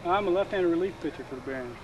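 A young man from Florida, a baseball pitcher, answering questions in a relaxed, easygoing way in a stadium. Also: racing, muffled recording. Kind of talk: casual interview answers. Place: empty stadium stands. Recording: distant camcorder audio with noise.

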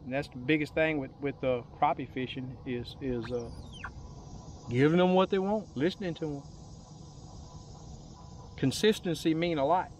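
Folksy man, higher-pitched voice. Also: darkish tone, a little hiss or hum. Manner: folksy, unhurried, rambling. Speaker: angler hosting a fishing vlog. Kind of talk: casual fishing commentary. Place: open boat on a lake, outdoors.

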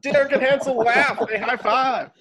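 A man's voice: very high voice, fairly even intonation, normal speed, crowd noise. A man reading scripted stage directions as the narrator of a table read. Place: at home on a video call.

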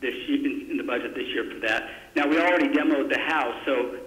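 A man's voice: mid-range voice, brisk, a bit bright. Hesitant, polite man in an echoey chamber. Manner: hesitant, polite, self-interrupting. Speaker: city council member. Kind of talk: asking budget questions in a public meeting. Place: indoor council chamber. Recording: echoey meeting room.

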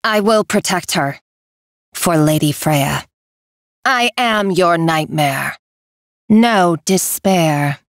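A woman playing a somber, devoted nightmare fairy in a video game, low and creaky with a slow, brooding manner. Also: expressive, dry recording, clean studio sound. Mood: menacing. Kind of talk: video game character voice acting.

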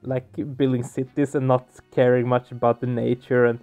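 A man giving casual let's play commentary, halting as he searches for words. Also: medium pitch, completely flat delivery. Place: small room at home, indoors. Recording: dull muffled sound, music behind. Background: game music.

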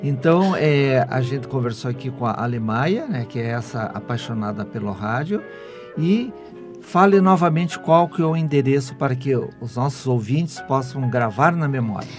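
A man's voice: medium pitch, animated, moderate pace. A man, hosting a conversational radio interview. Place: small foam-padded radio studio. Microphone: studio microphone. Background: clear music.

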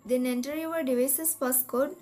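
Lady with a pretty high voice reading out how-to steps, instructional, music in the back. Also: steady tone, normal speed, dark tone. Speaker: tutorial narrator. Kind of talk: scripted step-by-step tech tutorial narration. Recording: voice-over with music behind. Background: background music.

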